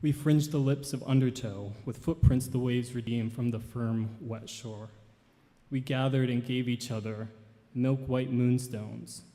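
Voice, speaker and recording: A young man in his twenties delivers an earnest, measured eulogy for his grandfather. His voice is mellow and dark, carried by the long reverb of a large church hall. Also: mid-range voice, fairly even intonation. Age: 20s. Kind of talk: memorial tribute and poetry reading.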